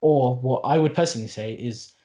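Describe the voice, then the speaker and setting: Late 20s guy, dark mellow voice, rambly and earnest, keeps restarting sentences. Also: medium pitch, a little fast. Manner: rambling with frequent restarts and fillers, earnest, wry asides. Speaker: podcast guest, Arabic teacher. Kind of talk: casual conversational interview.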